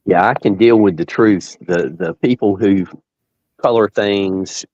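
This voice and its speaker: Low-voiced man on dull lo-fi audio. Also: measured pace, darkish tone, dry recording. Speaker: guest. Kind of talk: casual chat on a live stream.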